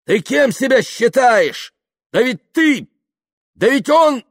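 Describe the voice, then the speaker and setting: A man's voice: mid-range voice, animated, no room sound, studio quality, voice pushed up, lightly acted. Man acting a wounded, theatrically pious novice. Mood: loud indignation. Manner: meek and measured, swelling into indignant protest.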